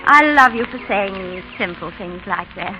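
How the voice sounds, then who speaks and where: An actress playing a spirited young Englishwoman in love, tender and gently teasing, in a 1930s radio drama. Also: mid-range voice, measured pace, background noise, natural voice. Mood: admiring. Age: young adult. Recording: muffled 1930s radio broadcast, faint music.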